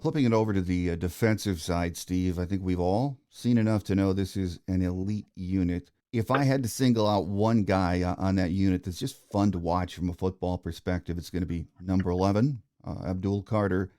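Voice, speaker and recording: A man's voice: sits low, steady tone, a little fast, few pauses, dark tone. A man hosting a sports podcast, conversationally setting up questions for his guest. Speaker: podcast host, interviewer.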